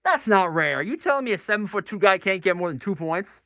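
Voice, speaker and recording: A man's voice: high voice, very animated, fast talker, fairly bright tone, dry recording, clean studio sound. A man hosting a sports talk show, debating basketball in an insistent, argumentative way.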